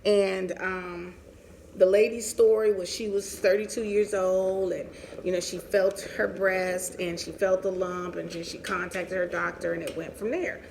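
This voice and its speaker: Woman in her 40s just chatting through her story, candid, lots of vocal fry, bit of background noise. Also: lowish voice, little pitch movement, a little slow, a little mellow. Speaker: vlogger sharing her cancer story. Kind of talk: casual personal storytelling while getting ready.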